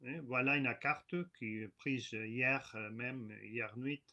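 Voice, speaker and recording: Bright-voiced man lecturing. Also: medium pitch, fairly even intonation, muffled recording. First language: not French. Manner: halting, hesitant, frequent filler sounds and restarts. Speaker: guest lecturer. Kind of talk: online conference lecture. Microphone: computer mic on a video call.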